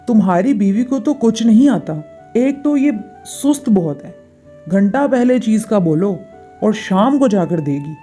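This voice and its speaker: A bossy older auntie in a family storybook tale, acted by a woman. She orders and finds fault in a soft-edged, mid-pitched voice, with music underneath. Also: lightly acted. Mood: complaining. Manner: commanding, complaining. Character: bossy, demanding, fault-finding older visiting aunt.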